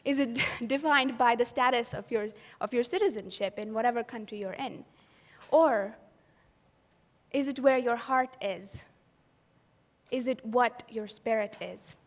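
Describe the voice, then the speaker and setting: A woman's voice: on the high side, unhurried. A woman from Pakistan giving an earnest personal storytelling talk at an event, posing rhetorical questions to the audience. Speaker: event speaker. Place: event hall. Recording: long hall reverb.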